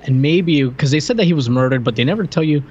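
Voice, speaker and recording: A man's voice: a little high, lively intonation, fast talker, a little mellow, breathy edge. Casual male co-host, slightly muffled lo-fi sound. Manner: conversational, loose slangy phrasing. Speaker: podcast co-host. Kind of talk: casual film discussion podcast.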